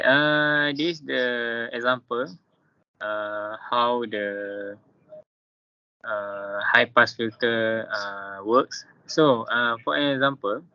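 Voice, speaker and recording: A man's voice: mid-range voice, clear, resonant tone, no echo, highs cut off. A man in his twenties with a Malaysian English accent, giving a technical student presentation with frequent fillers and self-corrections. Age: 20s. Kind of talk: academic group presentation.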